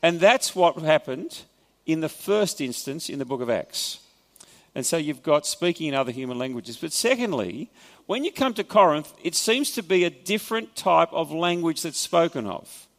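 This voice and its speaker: Aussie preacher guy, slightly high voice w some gravel, chatty sermon, church echo. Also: expressive, normal speed. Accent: Australian. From Australia. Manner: conversational, explanatory, wry asides. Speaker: pastor. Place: church hall.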